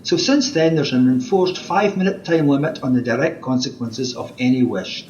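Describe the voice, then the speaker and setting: Man playing a fussy wish-granter, expressive, muffled sound. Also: medium pitch, normal speed, natural voice. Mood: officious, chatty. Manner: pedantic, chatty, officious. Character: fussy, officious male wish-granter, pedantic and easily affronted. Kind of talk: radio play acting. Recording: muffled home recording, background noise.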